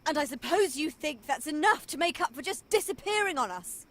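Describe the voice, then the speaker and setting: An actress playing a sharp, exacting young British witch, forthright and articulate in a dramatic game scene. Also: high-pitched, animated, brisk, slight background noise. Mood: furious. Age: young adult. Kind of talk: dramatic film-style game acting.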